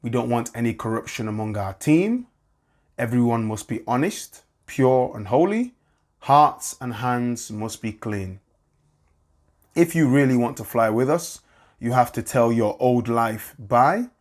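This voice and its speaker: A man delivering scripted spoken word styled as an airline boarding announcement, in a measured, deliberate, preaching way. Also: medium pitch, animated.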